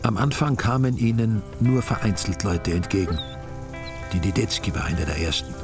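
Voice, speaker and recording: An older man narrating a radio drama in a measured, wry way. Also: sits low, creaky. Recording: studio radio play with music.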